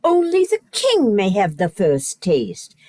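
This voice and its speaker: Woman playing a wildly expressive stern noble mother. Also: mid-range voice, takes it slowly, dry recording, clean studio sound, heavily acted. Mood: knowing. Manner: theatrical, exclamatory. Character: stern noble lady, indignant scolding mother. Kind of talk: comic radio play acting.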